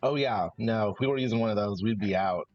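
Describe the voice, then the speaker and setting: Male tabletop player, low voice, loose and halting conversational delivery, slightly lo-fi over faint music. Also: no room sound. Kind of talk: casual out-of-character chat about a roleplaying game. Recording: dull lo-fi sound, background music.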